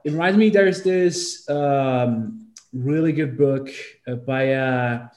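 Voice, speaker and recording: Halting Brazilian man in an echoey room. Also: mid-range voice, a little mellow. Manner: halting, many fillers and restarts. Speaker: discussion participant. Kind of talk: casual group discussion.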